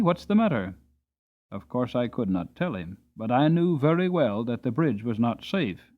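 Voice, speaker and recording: A man narrating a storybook dramatically, expressive and varying his pacing, on a slightly dull recording. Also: a little high. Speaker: audiobook narrator. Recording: slightly dull, band-limited audio.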